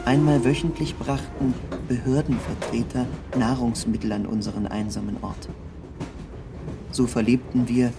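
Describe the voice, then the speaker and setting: Over a clearly noisy, rumbling train-like backdrop, a man narrates a literary text. His voice has a gravelly creak and sits at a medium male pitch. He speaks in measured, formal, precise sentences. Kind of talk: scripted literary narration, read in first person.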